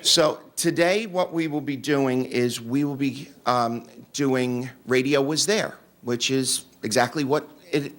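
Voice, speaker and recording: A man presenting to a convention audience in a relaxed, joking manner with fillers. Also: mid-range voice, slow pace, few pauses. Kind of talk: casual talk to an audience. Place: convention room.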